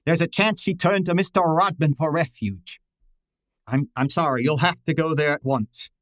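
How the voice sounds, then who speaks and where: A man playing a shrewd, imperious private detective in a radio drama, speaking formally and deliberately. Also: fairly high pitch, fairly bright tone, no room sound, studio quality, close to an everyday voice. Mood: pondering, authoritative. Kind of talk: old-time radio drama acting.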